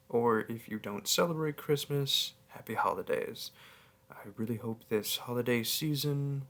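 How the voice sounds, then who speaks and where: Quiet guy chatting to his listeners, lowish voice w some fry, earnest, kinda hesitant. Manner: soft-spoken, hesitant, earnest. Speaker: audio roleplay creator. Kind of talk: casual sign-off to listeners.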